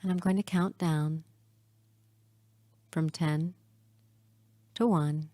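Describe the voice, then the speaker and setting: A woman leading a guided hypnosis meditation in a soothing, hushed manner, leaving long pauses between short phrases. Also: low-pitched, steady tone, no echo.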